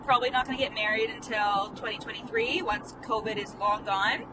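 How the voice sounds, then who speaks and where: A woman's voice: a little high, fairly quick. A woman in her late twenties, a life coach who stutters, chatting candidly in a casual vlog monologue while she drives, full of "like" fillers and the odd swear. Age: late 20s. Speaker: life coach and podcast host. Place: in a car. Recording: distant phone mic in a noisy car. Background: car and road noise.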